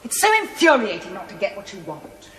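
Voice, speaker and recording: A woman's voice: mid-range voice, sing-song, fairly quick, a bit bright. Posh english lady, snobby and fretful, voice swoops about, very echoey with slight hiss. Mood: haughty, fretful. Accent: upper-class English. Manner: imperious, clipped, declamatory. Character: haughty, imperious aristocratic English widow, fretful and snobbish. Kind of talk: theatrical television play dialogue. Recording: echoey stone hall, faint noise.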